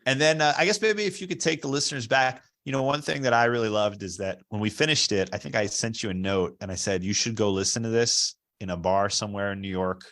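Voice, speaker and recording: Low-pitched American man hosting an interview. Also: quick pace, few pauses, a bit bright. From Baltimore, US East Coast. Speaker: podcast host, producer. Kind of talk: casual conversational interview.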